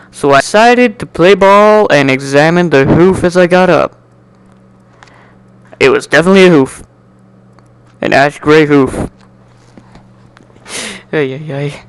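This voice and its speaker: A man giving an amateur dramatic reading of a first-person story in an animated, wry, sardonic way. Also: fairly high pitch, clean tone. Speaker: amateur narrator.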